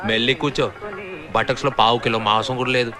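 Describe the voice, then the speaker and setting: An actor playing a brash, cheeky, wheedling rogue in a comedy film. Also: male voice, medium pitch, little pitch movement, quick pace, over music, natural voice. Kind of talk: Telugu film comedy acting.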